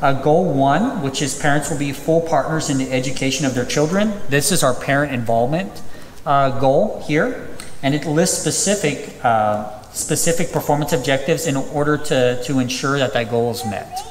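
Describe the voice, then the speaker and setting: A man's voice: medium pitch, moderate pace, slight background noise. A man in his thirties presenting at a school district public hearing from a podium, reading through items with frequent ums and uhs. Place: large empty auditorium. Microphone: podium microphone. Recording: echoey auditorium, distant reverb.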